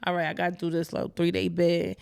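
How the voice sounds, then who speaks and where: A woman's voice: fairly low pitch, little pitch movement, quick pace, gravelly, a little mellow, smooth. A woman co-hosting a podcast, asking questions in playful casual chat full of slang. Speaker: podcast co-host.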